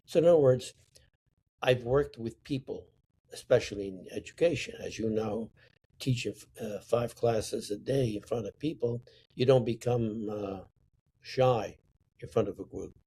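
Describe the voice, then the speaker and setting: An older man from Sicily, telling stories from his life slowly and with emphasis. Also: medium pitch, dark tone, slight background noise. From Sicily, Italy. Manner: slow, deliberate, emphatic storytelling. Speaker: interview guest, artist. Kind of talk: reflective storytelling.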